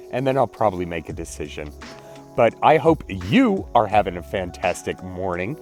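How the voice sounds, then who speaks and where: A man, congested and clearing his throat, chatting casually in a daily vlog and apologizing often. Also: fairly low pitch, darkish tone. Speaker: vlogger.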